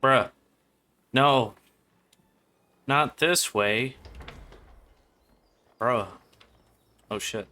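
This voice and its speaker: A man's voice: mid-range voice, very slow, pauses often, sharp tone. A man doing casual live gameplay commentary as a streamer, muttering to himself and his chat in slangy, sweary language. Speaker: game livestreamer.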